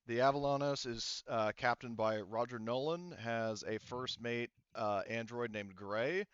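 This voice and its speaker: Guy game mastering a tabletop game, kinda halting, smooth low voice, dry slightly muffled mic. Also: fairly even intonation, dry recording. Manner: halting, explanatory. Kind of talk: casual tabletop roleplaying game narration.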